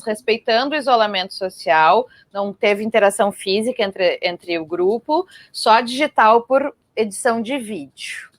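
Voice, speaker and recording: A woman's voice: on the high side, no room sound. A Brazilian woman hosting and interviewing in a conversational style on a live arts programme.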